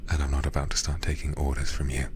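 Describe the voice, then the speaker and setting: A man playing a teasing, menacing demon lord in an ASMR roleplay, speaking slowly and mockingly, often dropping into whispers. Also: very deep voice, completely flat delivery, slight background noise. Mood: stern, commanding. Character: sinister, teasing demon lord interrogating a prisoner.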